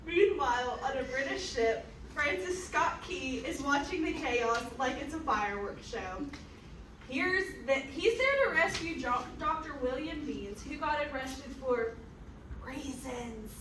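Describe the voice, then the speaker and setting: A woman's voice: sits high, animated, a little slow. A woman narrating a comic scripted history in a theatrical manner with sardonic asides. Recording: roomy, distant mic, faint noise.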